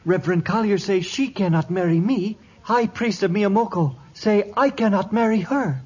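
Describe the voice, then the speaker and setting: A man's voice: high voice, normal speed, darkish tone, slightly rough. An actor playing a troubled, lovesick young native herdsman in broken English, in 1950s radio drama style on an old dull recording. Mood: glum, earnest. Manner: speaks broken English, dropping small words.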